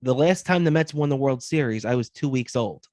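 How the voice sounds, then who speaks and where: A man's voice: medium pitch, brisk, darkish tone, no room sound, clean studio sound. A man in his thirties co-hosting a sports podcast, talking casually and laying out his opinions. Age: 30s.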